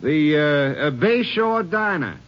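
A man playing a folksy rooming-house landlord in 1950s radio drama, halting and repeating himself. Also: a little high, very animated. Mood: hesitant, gossipy. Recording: muffled, hissy 1950s radio recording.

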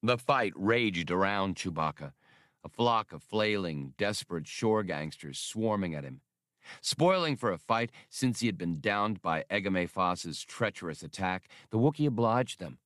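A man's voice: deep voice, expressive, a little slow, fairly bright tone, no echo, studio quality. A man reading an adventure story as an audiobook narrator, in a scripted, dramatic style.

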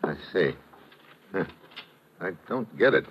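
An actor playing a tough, plainspoken investigator in an old-time radio drama, clipped and slangy. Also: male voice, sits low, very animated, slow, exaggerated voice. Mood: puzzled, brisk. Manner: clipped, slangy, hard-boiled. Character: tough, plainspoken male investigator working a murder case. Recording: dull, lo-fi vintage radio recording.

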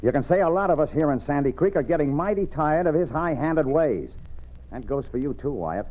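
Narrow vintage radio sound; male actor as forthright Old West doctor, American, stern, expressive melody. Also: a little high, brisk, natural voice. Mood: firm, indignant. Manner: firm, forthright. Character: stern, brave frontier town doctor, adult man. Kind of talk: old-time radio western acting. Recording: tinny old radio recording.

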